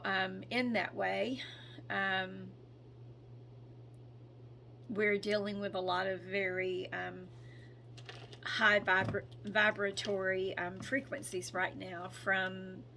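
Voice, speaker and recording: A hoarse woman speaking in a slow, hesitant way, with frequent ums and throat clearing, as she gives a casual spoken update as a spiritual channel. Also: medium pitch, bright, forceful tone, slight background noise.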